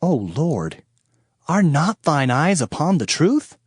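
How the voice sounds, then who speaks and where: A man's voice: fairly high pitch, big pitch swings, slow, slightly rough, no echo, clean studio sound, full character voice. A man playing an anguished Hebrew prophet in a dramatized scripture reading, lamenting and pleading with theatrical emphasis.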